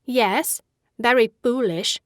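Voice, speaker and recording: A woman's voice: a little high, slow pace, dry recording, studio quality. An actress playing a petulant, haughty teenage girl in a dramatic audiobook, peevish and imperious. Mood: irritated, peevish.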